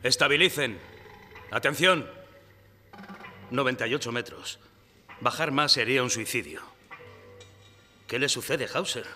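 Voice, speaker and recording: An actor playing a young, commanding submarine captain in an emphatic live radio drama performance. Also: male voice, mid-range voice, a little slow, pauses often, sharp tone. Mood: probing, confiding. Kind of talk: live radio theatre acting. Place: live stage in a library before an audience. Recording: reverberant hall, music bed.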